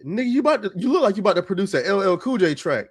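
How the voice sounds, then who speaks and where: An American man co-hosting a livestream with casual, teasing banter. He speaks very quickly in a slightly high voice, with blunt, slangy delivery. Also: very animated, breathy edge, no room sound. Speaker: livestream co-host.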